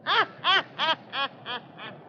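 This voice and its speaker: A woman's voice: high voice, expressive, very slow, exaggerated voice. A woman playing a gleefully sinister, cackling old witch storyteller in a 1930s radio horror show. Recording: muffled, noisy 1930s radio recording.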